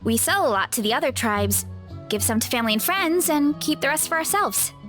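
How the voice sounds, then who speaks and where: High, lively, cheerful young woman. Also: a little fast, soft background music. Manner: breezy, chatty, animated. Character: cheerful, hearty young tribeswoman who loves eating. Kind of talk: video game voice acting.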